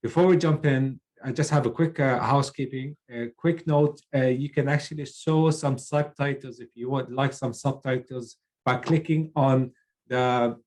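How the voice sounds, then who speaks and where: A middle-aged man from Lebanon, a program manager opening a webinar from a child's bedroom on a video call, talking haltingly with many fillers and restarts. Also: mid-range voice, fairly even intonation, darkish tone.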